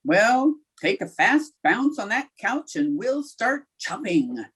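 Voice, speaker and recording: Woman playing a wry, animated schoolteacher. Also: a little high, slow, breathy edge, heavily acted. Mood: wry, brisk. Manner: dry, wisecracking. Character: wry, quick-witted female schoolteacher. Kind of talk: old-time radio comedy acting.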